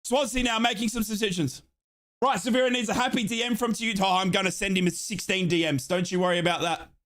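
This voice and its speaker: A man hosting a live fan watchalong, calling a football match in excitable play-by-play and chanting. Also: high voice, fast. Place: home office.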